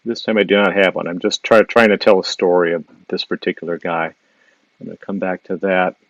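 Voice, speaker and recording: Low-voiced Wisconsin man sketching live. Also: steady tone, fairly quick, dry recording, muffled recording. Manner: thinking aloud while sketching, with frequent fillers and restarts. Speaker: illustrator giving a live drawing demo. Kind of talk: casual live-stream drawing demo, reading viewer questions. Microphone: device mic on a live social video stream.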